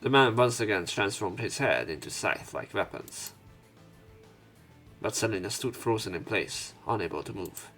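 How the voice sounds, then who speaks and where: A man's voice: lowish voice, expressive, normal speed, fairly bright tone. A man reading a visual novel aloud as a let's play narrator, dramatic in the story parts and casual in his commentary. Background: game music.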